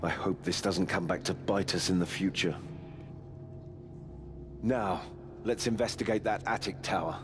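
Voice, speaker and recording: A man voicing a dry, pragmatic knight on a stealthy mission in a video game, speaking in a measured way. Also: low-pitched, over music. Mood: conspiratorial.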